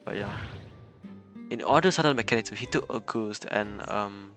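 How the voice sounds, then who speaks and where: Casting: female live streamer with a strikingly low voice, informal, hesitant reactions over game music. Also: fairly bright tone. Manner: halting, thinking aloud. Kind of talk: casual live commentary on a game.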